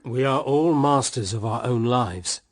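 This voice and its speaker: A man's voice: medium pitch, a little slow, close to an everyday voice. An actor playing a stern, possessive, idealistic married man in a period radio drama, speaking in an emphatic, moralizing way. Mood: stern, judging.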